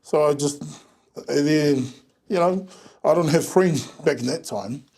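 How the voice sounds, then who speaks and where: A man from Samoa, speaking as a guest in a relaxed, plain-spoken interview chat. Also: a little high, a little slow, slightly rough. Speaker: events and marketing manager, guest.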